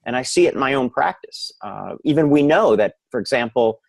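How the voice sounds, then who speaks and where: A man's voice: medium pitch, expressive, fairly quick, creaky, no echo. A man speaking as an expert physician guest, explaining his field conversationally in an interview.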